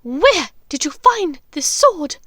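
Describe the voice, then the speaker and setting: Woman performing fanatical dark witch, high-pitched, wildly swooping, imperious, commanding, unhinged, audiobook villain. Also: big pitch swings, slow, no echo, clean studio sound, full character voice. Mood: imperious, urgent. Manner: imperious, barking orders. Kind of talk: audiobook character acting.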